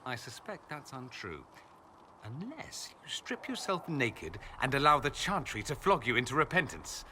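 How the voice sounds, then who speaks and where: An urbane foreign mage for a fantasy game. His voice is bright and middle-pitched, with dry, theatrical sarcasm. There is a little noise on the recording. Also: very animated, moderate pace. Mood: wry, cautioning. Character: urbane, sardonic male mage from a foreign empire. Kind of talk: video game dialogue acting.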